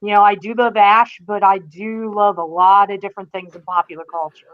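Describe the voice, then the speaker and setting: A woman's voice: mid-range voice, steady tone, a little fast. A woman guesting on a podcast, chatting casually and with enthusiasm. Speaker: podcast guest, entertainment writer. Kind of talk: casual chat. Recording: slightly dull, lo-fi call audio.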